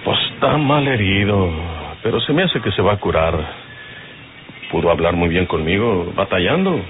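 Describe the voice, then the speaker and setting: Deep-voiced Mexican bandit boss. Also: male voice, lively intonation, moderate pace, over loud music. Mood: grave, firm. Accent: northern Mexican. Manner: folksy rural speech, commanding. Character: aging rural bandit boss, firm and protective. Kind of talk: old radio serial acting. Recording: muffled, noisy old radio serial recording.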